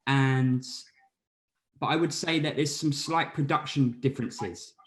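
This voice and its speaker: A man from Britain, a band member, giving measured and thoughtful interview answers with a few stumbles. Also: mid-range voice, fairly even intonation.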